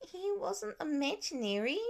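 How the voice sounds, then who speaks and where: A woman's voice: very high voice, big pitch swings, measured pace, vocal fry, pitched above the performer's own voice, full character voice. A woman voicing an earnest, pouty five-year-old boy in a storybook reading. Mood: earnest, pouting. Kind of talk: audiobook character voice in fan fiction.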